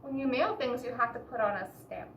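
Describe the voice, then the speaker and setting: A woman reading a picture book aloud expressively to children, pausing to explain and ask the listeners questions. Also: fairly high pitch, a bit bright. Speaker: children's story reader. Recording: roomy echo, distant mic, background hiss.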